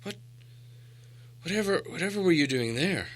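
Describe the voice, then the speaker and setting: A man voicing a proud, gruff dwarf leader in a storybook, haughty and demanding, with a weighty, imperious way of talking. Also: a little high, animated, normal speed, fairly bright tone, pitched above the performer's own voice. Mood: bewildered. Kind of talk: storybook character voice.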